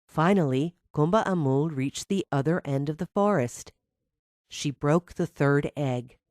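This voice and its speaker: A woman's voice: low voice, unhurried, a little mellow, no echo, clean studio sound. A woman narrating a scripted fairy tale for children as a storyteller.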